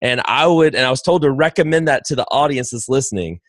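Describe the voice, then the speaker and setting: A man's voice: mid-range voice, animated, quick pace, no room sound, studio quality. A man hosting a podcast interview, who asks his questions in an enthusiastic, rambling way with many restarts. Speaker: podcast host.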